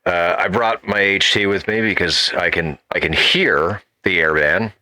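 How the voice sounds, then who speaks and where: Male co-host, very low forceful voice, halting casual delivery. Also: dry recording. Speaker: podcast co-host. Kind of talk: casual panel chat.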